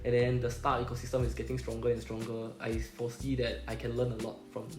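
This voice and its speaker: A man's voice: medium pitch, monotone, fast, roomy sound, over music. A young man with a Malaysian English accent, a Chinese speaker from home, explaining things in casual chat as a podcast co-host, ending phrases with "lah" and "right?".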